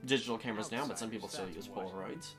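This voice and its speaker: A man's voice: lowish voice, very fast. A man co-hosting a gaming show, bantering casually and playfully while he plays. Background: video game music.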